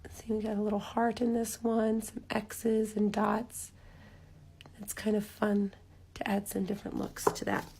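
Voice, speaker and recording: A woman with a hoarse, raspy voice leading a live craft tutorial, chatty with lots of ums, dropping almost to a whisper as she works. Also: medium pitch, measured pace. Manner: chatty, relaxed, frequent ums, drops to near-whisper while focusing. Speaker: rock painting instructor.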